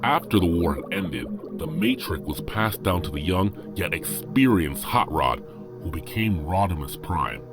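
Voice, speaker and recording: Male narrator for audio drama; solemn, expressive scripted storytelling in a deep voice, with clear music underneath. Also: a little slow.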